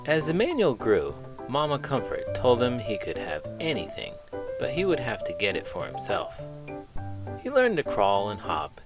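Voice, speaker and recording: A man reading aloud to children as a host, with dramatic, emphatic storytelling delivery. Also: fairly low pitch. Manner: dramatic, emphatic phrasing with big emotions. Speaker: children's book reader and host, elementary school teacher.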